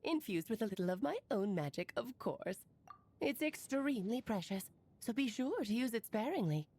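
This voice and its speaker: A woman's voice: a little high, animated, moderate pace, a little mellow, slightly rough. A woman playing a playful, teasing witch aunt in an anime game, with whimsical, theatrical airy confidence. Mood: explaining, playful.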